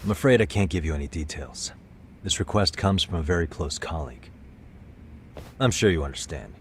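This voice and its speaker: A man's voice: low voice, animated, a little fast, a little hiss or hum. A man playing a cool, persistent private detective in a video game cutscene, casual, composed and probing. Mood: probing, reassuring.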